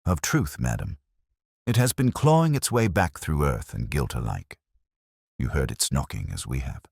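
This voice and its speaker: Man playing very deep, coolly precise detective. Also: big pitch swings, creaky, breathy edge, no echo, clean studio sound, full character voice. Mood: solemn, gentle. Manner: measured, formal, quietly authoritative. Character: calm, cerebral Victorian male detective, coolly precise.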